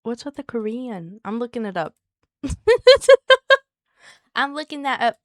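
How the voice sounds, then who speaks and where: Soft-voiced woman streamer chatting. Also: on the high side, wildly swooping pitch, slow pace, dry recording, studio quality. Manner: soft, soothing voice; chatty and giggly, talks to chat by name. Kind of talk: casual live-stream chat with viewers.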